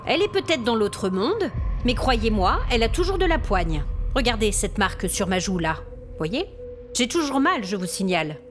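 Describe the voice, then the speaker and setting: A woman's voice: a little high, big pitch swings, normal speed, a little hiss or hum. A woman playing an exasperated, haughty hotel guest with complaints, in an acted audio fiction monologue. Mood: indignant, demanding. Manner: haughty, aggrieved, demanding. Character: indignant, exasperated female hotel guest complaining about ghosts.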